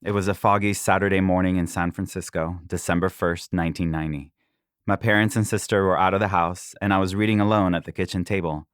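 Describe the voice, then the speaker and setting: A man in his forties, born in Israel, calmly reading aloud from his own book as a podcast host, with an occasional soft chuckle. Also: deep voice, fast talker, clear, resonant tone, no room sound, studio quality.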